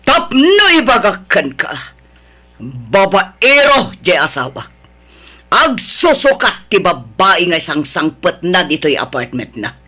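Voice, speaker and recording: A woman's voice: on the low side, big pitch swings, pushed and bright, breathy edge, full character voice. A woman with an Ilocano accent playing a wronged wife who scolds and accuses her husband's mistress. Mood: accusing. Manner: scolding, accusing, slowly emphatic. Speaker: voice actor in an audio drama. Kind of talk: Ilocano audio drama acting.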